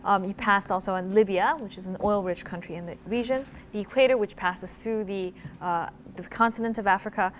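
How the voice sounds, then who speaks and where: A woman's voice: mid-range voice, fairly quick, hardly any pauses, a little hiss or hum. A woman hosting a TV quiz show, chatty and upbeat, laughing easily with guests. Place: TV studio.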